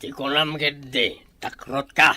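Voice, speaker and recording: A man acting as a scornful, stubborn crook with an Ilocano Filipino accent. His voice is extremely sharp and forceful at a middling pitch, in radio drama style. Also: steady tone, takes it slowly, heavily acted.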